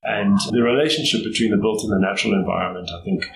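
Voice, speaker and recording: A middle-aged man with a South African accent, answering interview questions in a thoughtful, measured way with pauses to choose his words. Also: lowish voice, gravelly. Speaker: guest. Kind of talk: interview conversation. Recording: echoey room, faint background noise.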